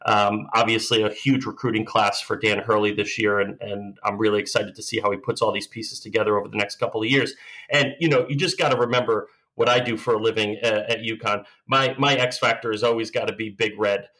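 A man's voice: on the low side, flat delivery, a little fast, bright, forceful tone, rough recording. A man in his early thirties, an upbeat panelist chatting casually as a sports fan. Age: 30s. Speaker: podcast panelist.

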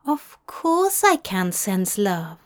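A woman doing a fussy gentle male angel. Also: medium pitch, big pitch swings, slow. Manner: gentle, cooing, prim.

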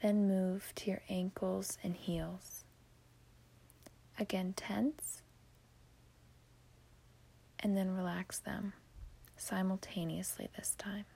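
Female meditation guide: breathy whisper, very slow pacing, hushed and soothing, for a sleep podcast. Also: medium pitch, level tone. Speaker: podcast host.

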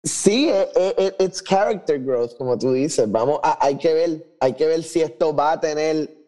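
Male co-host, warm dark timbre, mid pitch, casual review talk. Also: a little slow. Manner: switches often between Spanish and English. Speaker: podcast co-host.

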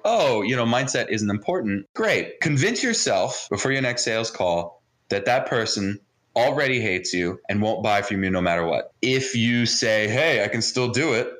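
A man's voice: medium pitch, fairly bright tone. A man with an American accent, raised in New Jersey, speaking casually and candidly as a podcast guest telling personal stories. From born in Israel, raised in New Jersey. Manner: informal, candid. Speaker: podcast guest, mindset coach. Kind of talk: casual conversational personal storytelling.

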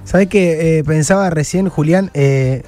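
A fairly high male voice with a Rioplatense Argentine accent, over faint music. He speaks as an interview co-host in casual conversation, haltingly, often rewording a thought midway. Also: warm tone. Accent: Rioplatense Argentine Spanish. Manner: halting, rephrasing as he goes.

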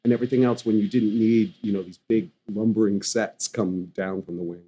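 A man's voice: fairly low pitch, steady tone, fairly quick, dark tone, slightly rough, dull audio. A male stage actor in an interview, talking thoughtfully with frequent restarts. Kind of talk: conversational interview.

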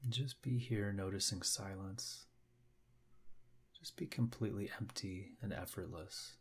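A man leading a guided meditation, speaking gently and unhurriedly in short phrases with long pauses. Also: lowish voice, slightly rough.

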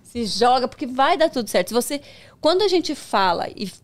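A woman chatting as a podcast guest, telling her story with lively jumps in pitch when she gets excited. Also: fairly quick. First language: Portuguese. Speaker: podcast guest, micropigmentation professional. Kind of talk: casual conversation.

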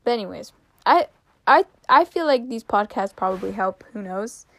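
A young woman in her teens hosting a casual solo podcast, rambling with lots of fillers and giggles, her voice a little raspy and tired. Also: medium pitch, animated, moderate pace, fairly bright tone. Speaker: podcast host.

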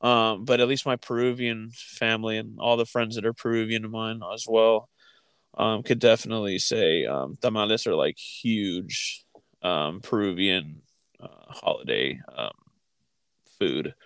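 A man chatting casually as a podcast co-host, haltingly thinking aloud as he goes. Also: on the low side, fairly bright tone, smooth, no echo. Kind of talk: casual chat.

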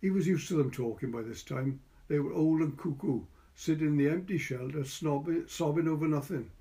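Mellow, higher-voiced Welsh male storyteller. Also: a little fast. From Wales. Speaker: narrator. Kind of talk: literary short story reading.

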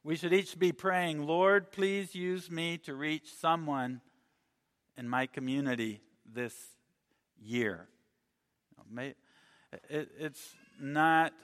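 A man raised in Mexico preaching a sermon in an earnest, measured way, often restarting his phrases. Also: medium pitch, fairly bright tone, big-room sound.

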